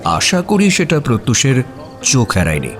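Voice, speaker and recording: An actor playing a detective's observant companion, narrating a mystery as a scripted audio story with expressive, suspenseful delivery. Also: male voice, medium pitch, moderate pace, soft background music.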